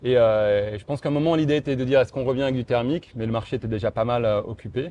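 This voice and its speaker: A man's voice: medium pitch, rapid-fire, mellow, highs cut off, slight background noise. A man representing a motorcycle brand, answering interview questions in a casual, hesitant way, with many fillers and restarts.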